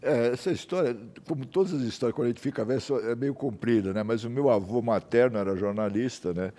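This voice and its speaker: A man's voice: mid-range voice, fast talker, mellow. A Brazilian man in his seventies, a journalist guest, telling rambling anecdotes full of tag questions. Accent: Brazilian Portuguese. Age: 70s. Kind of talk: interview storytelling.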